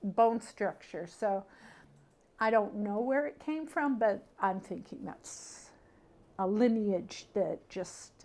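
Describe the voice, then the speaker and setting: A middle-aged woman from Canada talks in an ordinary mid-pitched voice. She reminisces informally, as if being interviewed. Her delivery is conversational and halting, as she thinks aloud. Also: animated, darkish tone. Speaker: interviewee sharing family memories. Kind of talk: casual personal reminiscence.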